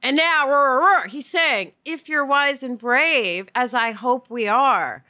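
A woman co-hosting a podcast in an informal, opinionated, animated way of chatting. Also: high-pitched, unhurried. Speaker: podcast co-host. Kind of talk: casual podcast discussion.

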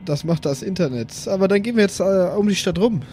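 A man with a mellow, fairly high voice plays a tabletop role-playing game. He chats casually and improvises, with faint music in the background. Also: expressive, fast.

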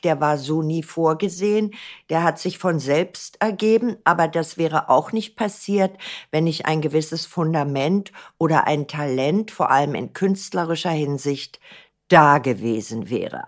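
An older woman from Berlin, a guest in a podcast interview, answering in long flowing sentences with blunt, colloquial turns. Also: low voice. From Berlin, Germany. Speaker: guest, entertainer.

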